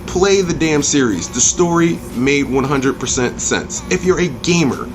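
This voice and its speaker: A man's voice: on the high side, animated, measured pace, rough recording. Blunt, profane man ranting over music. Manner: emphatic, blunt and profane, with repeated refrains. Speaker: video game movie reviewer and channel host. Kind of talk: opinionated review rant to camera.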